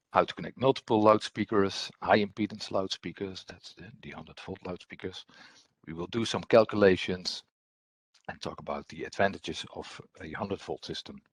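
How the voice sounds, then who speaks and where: A man presenting a technical webinar as an engineer, with a husky voice and hesitant, repetitive phrasing. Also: low-pitched, dry recording.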